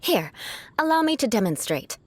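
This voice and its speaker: A woman's voice: a little high, sing-song, moderate pace, breathy edge, faint music behind. A woman voicing a proud young noblewoman knight in a game, speaking in a formal, cool, condescending way. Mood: haughty. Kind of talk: video game cutscene dialogue.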